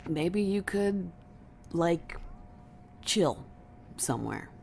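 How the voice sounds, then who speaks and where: A woman's voice: fairly low pitch, expressive, extremely slow, vocal fry, a little mellow, a little hiss or hum, full character voice. A woman playing a loyal, foul-mouthed security deputy in a science fiction audio drama, speaking casually and colloquially, a little hesitant with her boss. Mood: tentative, pleading.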